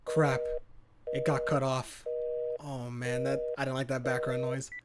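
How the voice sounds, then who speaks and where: A man's voice: mid-range voice, flat delivery, unhurried, very noisy. A man reading a horror visual novel aloud as a let's player, dramatic in narration and casual in his reactions.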